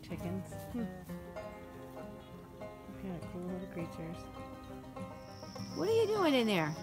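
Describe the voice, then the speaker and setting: Middle-aged woman, lively swooping intonation, coaxing casual pet talk, handheld phone, music behind. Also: medium pitch, unhurried. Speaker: backyard chicken keeper, vlogger. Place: mostly outdoors in a garden and around a coop.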